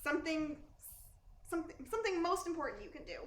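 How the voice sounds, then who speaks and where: A woman's voice: very high-pitched, big pitch swings, quick pace, a bit bright, full character voice. A woman playing a young, falsely humble, manipulative actress in a theatrical radio play style. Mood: insistent. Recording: roomy echo, faint noise.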